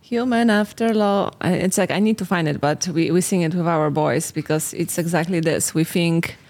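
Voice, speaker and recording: A woman's voice: on the low side, normal speed, a little mellow. A woman in her forties from Poland with a Polish accent, a relationship coach talking earnestly and reflectively in a casual podcast conversation. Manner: reflective, earnest, slightly non-native phrasing.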